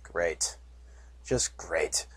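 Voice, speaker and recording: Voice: deep voice, expressive, drawn-out words, a bit bright, husky, no echo, clean studio sound, pitched below the performer's own voice, full character voice. A storyteller voicing a stern male guard pony who barks orders. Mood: commanding. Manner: barking, commanding.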